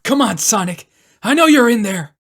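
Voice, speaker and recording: A man's voice: very high voice, expressive, moderate pace, fairly bright tone, heavily acted. A man playing a brave, earnest young hedgehog hero in an audio drama. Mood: pleading.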